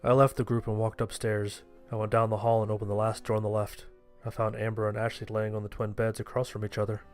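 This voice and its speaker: Low-voiced man narrating a story over music. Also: monotone, quick pace. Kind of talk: first-person horror fiction narration with dialogue. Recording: music under the voice.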